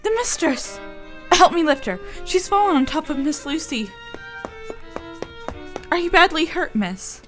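A woman's voice: sits high, big pitch swings, a little slow, long pauses, fairly bright tone, soft background music, heavily acted. A woman playing a loyal, worried housemaid in a radio play. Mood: alarmed.

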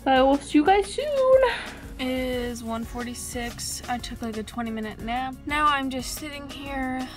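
A young woman talks casually and a little sleepily to a phone held close, her voice sitting a touch high. She sounds tired as she narrates her day from the car and the couch. Clear music runs behind her. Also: lively intonation, unhurried. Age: young adult. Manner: chatty and sleepy, talking to a handheld camera. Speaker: vlogger. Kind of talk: casual vlog chat. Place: car and home, in and out of doors. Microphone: phone or camera held close.

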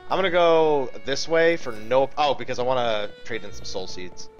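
A man's voice: mid-range voice, moderate pace, muffled recording. A man giving casual live video game commentary, thinking aloud and swearing as he chats with viewers. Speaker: livestream host playing a video game. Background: game music.

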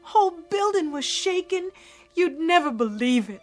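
A woman's voice: very high-pitched, sing-song, normal speed, faint music behind. An actress playing a fond, chatty mother in a radio drama, with colloquial, folksy phrasing. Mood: fond, amused. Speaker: actor in a radio play. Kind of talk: modern radio drama acting.

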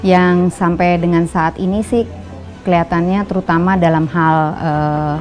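Interviewee, older woman, low-pitched, relaxed chatty talk at home, faint music behind. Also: a little slow. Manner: chatty, relaxed, many fillers. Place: indoor home room.

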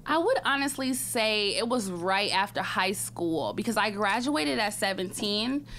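A young woman from Wisconsin, a recording artist, chatting casually as a studio interview guest with a relaxed, matter-of-fact manner. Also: mid-range voice, a little slow, a little hiss or hum. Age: young adult. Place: podcast studio with a large window. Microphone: studio boom mic, headphones.

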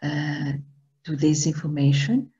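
A woman's voice: low-pitched, unhurried, dark tone, breathy edge. A woman in her sixties with a Greek accent, teaching a meditation workshop over a video call, hesitant and full of fillers. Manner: hesitant, many fillers, searches for words. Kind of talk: slow, halting workshop talk. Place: home room, indoors. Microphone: video call webcam. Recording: dull lo-fi video call audio.

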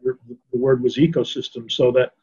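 An older man speaking off the cuff on a video call, measured and halting, repeating words as he thinks. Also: medium pitch, fairly even intonation, darkish tone, slightly rough. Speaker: panelist in a business discussion. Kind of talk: unscripted conference discussion. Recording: slightly dull video-call audio.

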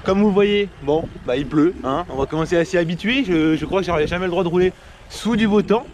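Young man, high voice, casual cycling vlog, action camera on the bike, loud wind noise, outdoors. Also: a little fast. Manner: chatty, talks to viewers directly. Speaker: cycling vlogger. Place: outdoors on a road bike, rainy roads. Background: wind and traffic noise.

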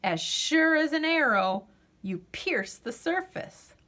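A woman with a fairly high voice reads a picture book aloud for children. Her pitch moves in wide, animated swings while she takes her time over each phrase. The delivery is gentle and expressive, with deliberate pauses for drama. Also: a little hiss or hum.

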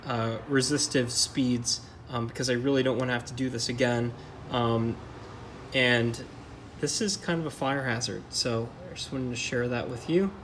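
Man explaining a repair, faint background noise. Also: medium pitch. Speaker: car repair vlogger. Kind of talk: casual hands-on explanation to camera.

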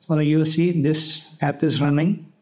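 A man hosts a screen-recorded software tutorial. His voice sits a little high for a man. He speaks very slowly, moving haltingly from one step to the next. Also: steady tone. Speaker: host of a tutorial channel.

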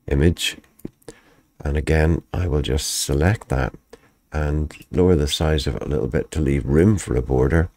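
A man with an extremely low, deep voice talks through a software editing tutorial one step at a time. He is calm and methodical, with a slight croak left over from the flu. The sound is dry and close, with no room echo. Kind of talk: screen-recorded software tutorial.

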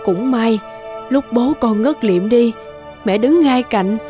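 A woman voicing a worried middle-aged mother and wife in an animated family drama. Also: on the high side, slow, over music.

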